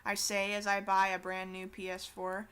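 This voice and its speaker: A woman's voice: medium pitch, monotone, normal speed, fairly bright tone, smooth. A teenage girl chatting casually while she draws, rambling and swearing with self-deprecating asides. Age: teens. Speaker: artist streaming a drawing session.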